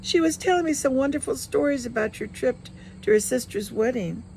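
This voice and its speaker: A woman's voice: sits high, a little fast, a little mellow, a little hiss or hum, voice pushed up, poor audio. A woman voicing a sly, lecherous man in a novel, a falsely polite and insinuating uninvited guest. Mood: slyly polite.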